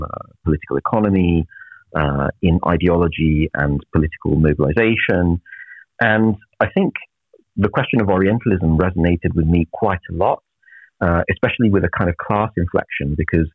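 Deep husky man on a tinny line. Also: dry recording. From Naples, Italy. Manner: measured, reflective, many filler pauses. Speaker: professor, guest. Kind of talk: interview answers.

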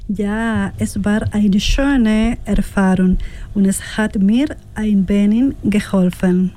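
A woman from Chile whose first language is Spanish gives short answers on a radio show. She speaks slowly. Her voice is mellow and of middling pitch. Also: fairly even intonation. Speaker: radio workshop participant. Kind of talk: brief interview answers.

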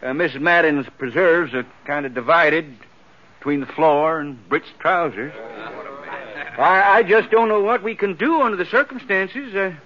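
An actor playing a genial, flustered, folksy county fair official in a 1950s radio western, stammering and fussing. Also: male voice, on the high side, normal speed, close to an everyday voice. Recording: dull 1950s radio broadcast.

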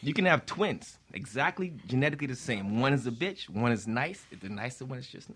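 A man's voice: mid-range voice, lively intonation, fairly bright tone, husky. Crude joking man guest. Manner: crude, joking, drawn-out advice. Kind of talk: casual podcast chat.

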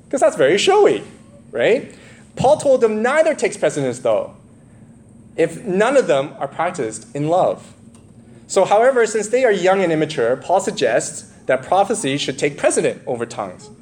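A man's voice: a little high, darkish tone. A man preaching an expository sermon in an emphatic teaching manner, often checking in with questions to his listeners. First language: English. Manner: asks the congregation questions, frequent check-in tags like "right?" and "okay?".

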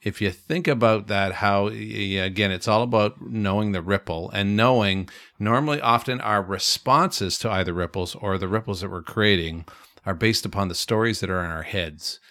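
A man co-hosting a podcast, talking in a thoughtful, reflective way with frequent pauses and restarts. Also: on the low side, a bit bright, no echo, studio quality. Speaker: podcast co-host. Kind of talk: casual reflective chat.

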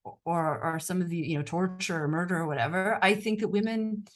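A woman's voice: lowish voice, brisk. An American woman journalist answering interview questions in a thoughtful, conversational way, often restarting her sentences. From United States. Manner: thoughtful, with frequent restarts and fillers. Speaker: journalist and author, interview guest.

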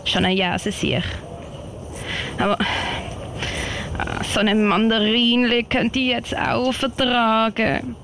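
A polite, weary young girl scout played by a woman in a homemade radio play. She takes her time in a fairly high voice with a Swiss German accent, sounding sensible and colloquial, with noise in the background. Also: steady tone, takes it slowly, creaky, pauses often. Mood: weary, musing. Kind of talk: amateur radio play acting.

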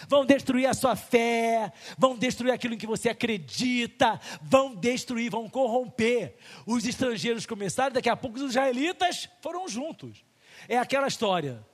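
Brazilian pastor guy preaching, pitch jumps all over, asks the crowd questions, big church reverb. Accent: Brazilian Portuguese. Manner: animated preaching, rhetorical questions, acted-out dialogues. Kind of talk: sermon.

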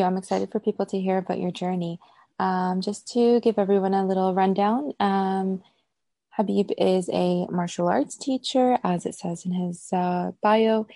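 A woman's voice: mid-range voice, gravelly, mellow, no room sound, studio quality. A woman hosting a conversational interview, introducing her guest and asking questions. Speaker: host, interviewer.

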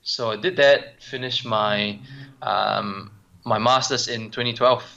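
A man answering interview questions over a phone line, halting with frequent ums and restarts. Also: medium pitch, steady tone, gravelly, pushed and bright. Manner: hesitant, many ums and restarts. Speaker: guest physiotherapist.

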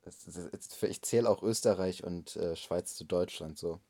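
Deep, dark-voiced young man chatting. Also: unhurried. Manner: rambling, hesitant restarts. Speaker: podcast co-host. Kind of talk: casual chat.

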